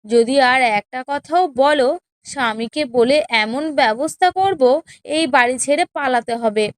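A woman plays a haughty younger co-wife in a cartoon tale. Her voice is high, smooth and closely dry. She talks down to people in an imperious, sneering, scolding way. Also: normal speed, dry recording, close to an everyday voice. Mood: scornful, bossy. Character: haughty, spiteful younger co-wife in a village household. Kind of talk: children's cartoon story dialogue.

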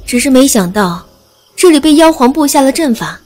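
Woman voicing a spirited carefree heroine. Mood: earnest. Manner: quick, lively and high. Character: bold carefree young heroine, spirited and loyal. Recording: long reverb, soft music behind.

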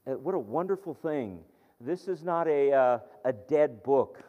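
A man's voice: mid-range voice, lively intonation, unhurried. Guy preaching in a big echoey church, kinda dull muffled sound, earnest and chatty. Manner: conversational, earnest, with many restarts and fillers. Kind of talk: sermon.

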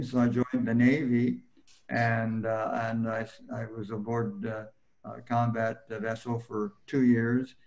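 An older man remembering his life as a guest on a video call, talking haltingly with many fillers and restarts. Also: mid-range voice, gravelly, low-quality recording. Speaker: panel guest. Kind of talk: personal recollection in an interview. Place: home room, indoors.

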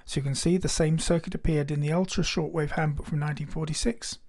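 Man narrating in a slightly high voice. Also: steady tone, fast, a little mellow. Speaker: narrator and electronics hobbyist. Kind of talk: scripted narration of a radio-building demonstration.

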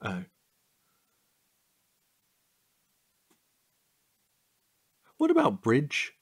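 A man's voice: mid-range voice, wildly swooping pitch, normal speed, slightly rough. An actor playing a playful, easily bored, childishly eager man in an audio drama, indignant and teasing.

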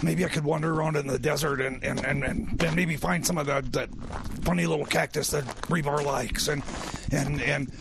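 Man putting on a creaky, flustered, stammering mechanic. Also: a little high, steady tone. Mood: spacey, searching. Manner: halting, stammering, rambling. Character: flustered rambling time machine mechanic, spacey and stammering. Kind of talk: comedy radio theater skit. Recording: noisy with clicks.

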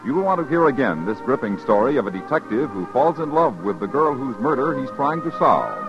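A man's voice: medium pitch, big pitch swings, a little fast, music in the background. An American man announcing formally from a script in declamatory 1950s radio style. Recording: dull 1950s radio recording.

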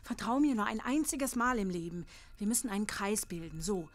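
A woman's voice: mid-range voice, lively intonation, brisk, close to an everyday voice. A woman playing an anxious, protective middle-aged mother in a horror audio drama, earnest and commanding. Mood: soothing, earnest.

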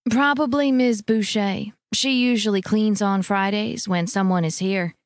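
A woman voicing a composed, gentle mother who speaks quietly and politely. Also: mid-range voice, steady tone, measured pace, dry recording, clean studio sound, close to an everyday voice. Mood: casual.

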